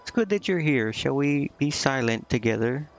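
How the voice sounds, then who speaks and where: A man reading out the lines of a quiet, regretful female demon from a fantasy game in a halting manner. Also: medium pitch, animated, muffled recording, soft background music, natural voice.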